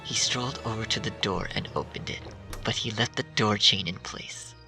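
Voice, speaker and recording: A man reading dark fan fiction aloud in a hushed, half-whispered narration. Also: on the low side, normal speed. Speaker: story reader. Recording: distant mic with faint music.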